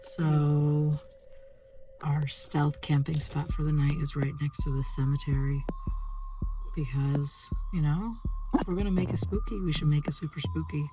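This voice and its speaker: A woman's voice: sits low, fairly even intonation, a little slow, background noise. A woman chatting casually and informally to viewers as the host of a travel vlog, upbeat and chatty. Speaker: travel vlogger, channel host.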